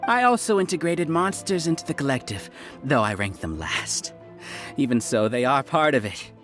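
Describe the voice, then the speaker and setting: An actor playing an arrogant, menacing hive-mind overlord in an anime-style video game, lecturing in a pompous, deliberate manner. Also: male voice, medium pitch, lively intonation, fairly bright tone, natural voice. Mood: gloating, menacing. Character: arrogant scholar villain, overmind of a hive collective, menacing. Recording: faint music behind the voice.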